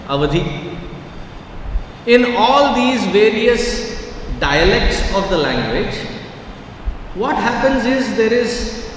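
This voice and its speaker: High-voiced Indian English teacher in an echoey hall. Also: male voice, a bit bright, recorded from a distance, a little hiss or hum. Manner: patient, explanatory, asks the audience questions. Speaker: spiritual teacher. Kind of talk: discourse to a live audience.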